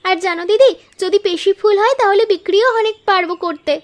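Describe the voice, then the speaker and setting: A woman's voice: extremely high pitch, fast talker. A woman voicing an earnest, affectionate young girl, a poor flower seller and younger sister, in a cartoon moral story. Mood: eager.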